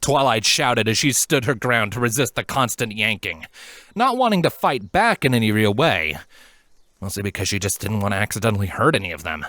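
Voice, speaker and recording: A man narrating a scripted story in an expressive, dramatic manner. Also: lowish voice, brisk. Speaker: fan fiction reader, narrator.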